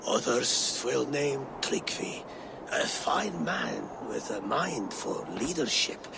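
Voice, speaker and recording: An actor playing an aging, ailing Viking jarl in a video game, a proud father and clan leader with a gravelly, breathy voice. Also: mid-range voice, background noise, full character voice. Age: older. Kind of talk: dramatic video game acting.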